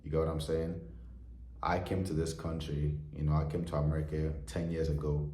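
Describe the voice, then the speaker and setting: Man, 20s, very low and flat voice, earnest vlog pep talk, roomy echo. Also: fairly quick. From Nigeria. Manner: earnest heart-to-heart, frequent "you know" fillers. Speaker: vlogger. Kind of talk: motivational talk to camera. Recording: echoey room.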